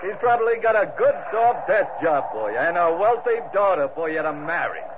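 High, hearty first mate. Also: male voice, very animated, fairly quick. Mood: teasing, hearty. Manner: jovial, folksy teasing. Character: teasing, hearty first mate of a sailing ship. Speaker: supporting radio actor. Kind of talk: 1940s radio adventure acting. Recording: tinny noisy vintage radio recording.

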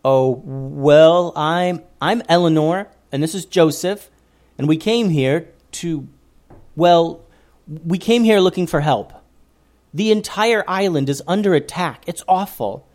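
A man's voice: on the high side, slow pace, darkish tone, voice pushed up. A man doing a nervous storybook girl. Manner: hesitant, stammering. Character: nervous polite young girl.

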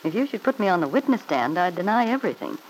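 A woman's voice: fairly low pitch, big pitch swings, quick pace. A scheming middle-aged mother-in-law in a vintage radio drama. Her voice swings expressively through spite, insinuation and self-justification. It comes over a noisy old broadcast recording. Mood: defensive, spiteful. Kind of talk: old-time radio serial acting.

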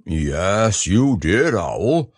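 Man doing a gentle simple storybook bear, earnest, really slow drawn out words, dry close recording. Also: lowish voice, very animated, no room sound, pitched below the performer's own voice, heavily acted. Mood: mildly insistent. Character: humble, slow-witted, gentle male toy bear. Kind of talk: storybook character voice.

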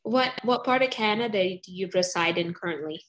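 A woman's voice: mid-range voice, brisk. A woman hosting a podcast interview in a bubbly, playful, sing-song manner. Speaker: podcast host.

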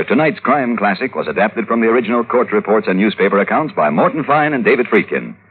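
A male announcer reads in formal 1950s radio style. His voice is fairly low with lively rises and falls. The recording is narrow and tinny, like an old broadcast. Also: slightly rough.

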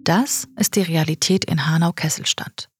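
A woman podcast host narrating in a calm, matter-of-fact way and chatting with a colleague. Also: sits low, moderate pace, soft background music.

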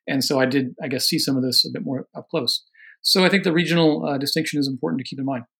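An American man from Oregon, an army veteran, answering interview questions in a measured, earnest way that carefully qualifies each point. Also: medium pitch, fairly even intonation, creaky, sharp tone. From Oregon, USA. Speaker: guest author on religion and politics.

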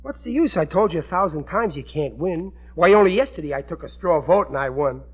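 Middle-aged sitcom dad: aggrieved, plaintive, blustery, higher-than-average male pitch, 1940s radio sound. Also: expressive, brisk, slightly rough. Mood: sulky, pleading. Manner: plaintive, ungrammatical everyman talk. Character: aggrieved, blustering working-class husband and father, middle-aged. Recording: dull vintage radio broadcast recording.

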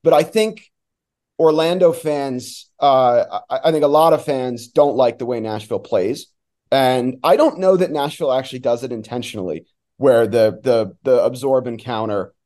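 A man who works as a sports announcer, giving casual, somewhat halting analysis as a guest on a talk show. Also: mid-range voice, dark tone.

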